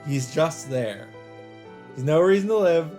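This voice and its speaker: A man's voice: a little high, animated, measured pace. A man hosting a let's play, reading a visual novel's narration aloud in a dramatic storytelling style. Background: game music.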